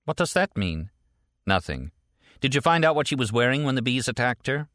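A man's voice: mid-range voice, big pitch swings, moderate pace, a bit bright, no echo, studio quality, pitched above the performer's own voice. A man voicing a wary, brooding male character in an audiobook, terse and evasive. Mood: grim, evasive. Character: wary, guarded, brooding man thinking slowly aloud.

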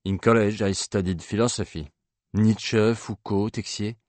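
A man's voice: lowish voice, fairly even intonation, a little slow, a little mellow, no echo, close to an everyday voice. A man playing a polite, lovelorn banker in an audiobook, formal and earnest in his speech. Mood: subdued.

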